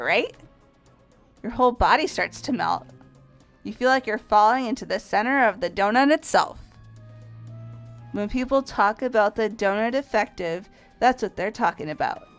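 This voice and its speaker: Sporty teen girl played by a woman, high clean voice, emphatic and gushing, visual novel game, music underneath. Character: energetic, athletic, donut-obsessed teenage girl.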